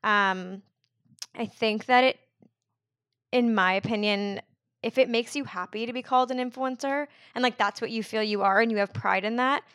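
A woman's voice: a little high, normal speed, fairly bright tone, smooth, dry recording. Chatty young woman, dry close sound. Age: 20s. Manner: chatty, fills speech with "like". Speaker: podcast guest. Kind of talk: casual conversational interview.

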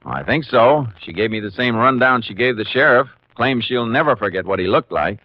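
An American actor with a Western accent playing a calm, methodical Texas Ranger in a 1950s radio western, clipped and matter-of-fact. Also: male voice, mid-range voice, normal speed, close to an everyday voice. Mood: thoughtful, steady. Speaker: lead actor in a radio drama. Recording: muffled, noisy 1950s radio recording.

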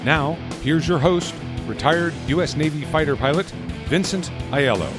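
An older man with some broadcasting history reading a loud, emphatic podcast intro. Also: a little high, normal speed. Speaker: announcer reading the show intro. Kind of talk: scripted announcer intro over music. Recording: loud guitar music underneath.

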